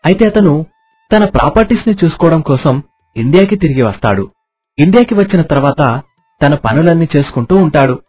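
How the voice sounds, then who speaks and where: Husky, animated male storyteller. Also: on the high side, fairly quick. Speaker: story narrator. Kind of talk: scripted, expressive storytelling. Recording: music under the voice.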